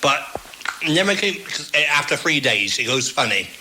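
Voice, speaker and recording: A man chatting homely and rambling as an interviewee, with halting restarts. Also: mid-range voice, big pitch swings, sharp tone, slight background noise, low-quality recording. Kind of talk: casual chat.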